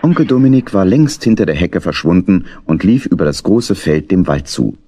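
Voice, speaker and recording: Deep, husky male storyteller. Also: expressive, moderate pace, dark tone, dry recording, clean studio sound. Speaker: narrator. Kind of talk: scripted children's story narration.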